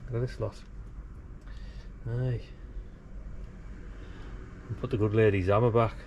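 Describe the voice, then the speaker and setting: A man's voice: fairly low pitch, steady tone, measured pace, darkish tone, lo-fi sound. Laid-back man, noisy outdoor recording. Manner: rambling, laid-back, colloquial, thinking aloud. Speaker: amateur radio hobbyist filming a DIY video. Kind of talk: casual chat while demonstrating DIY. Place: outdoors beside a brick house wall.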